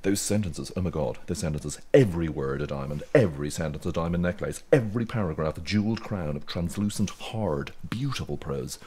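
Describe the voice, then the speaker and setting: A man's voice: sits low, fairly quick, few pauses, dark tone, breathy edge. A man of about fifty with an Irish accent acting a grumpy, sardonic retreat manager in a radio drama, dry and mock-grandiose. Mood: mock-rapturous, bitter. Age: middle-aged.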